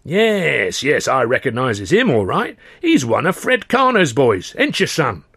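Brief: man playing a big friendly docker, folksy and slow, lively pitch, dry close recording. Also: husky, no room sound, heavily acted. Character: huge, kindly male dock worker, friendly giant.

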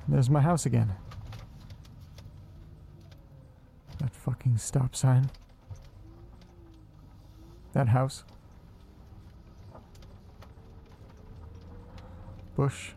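Man acting a slow-talking, muttering everyday guy. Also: medium pitch, vocal fry, a little hiss or hum. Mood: wry, deflating. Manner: muttering to himself, casual, deadpan. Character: restless, sweary man talking to himself and his pets. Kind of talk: naturalistic horror audio drama monologue.

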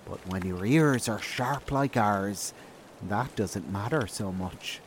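Man acting a slow-talking, easygoing scientist. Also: on the low side, a little hiss or hum. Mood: casual, knowing. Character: guarded, easygoing male scientist with sharp ears. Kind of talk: audio drama acting.

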